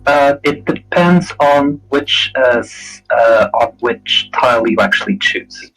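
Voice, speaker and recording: A man setting up and explaining a board game, halting with many fillers. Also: mid-range voice, expressive, a bit bright, rough recording. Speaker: game referee.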